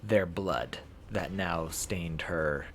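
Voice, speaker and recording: A man streaming a visual novel playthrough, reading it aloud in a halting, dramatic way and correcting himself. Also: low voice, a little hiss or hum.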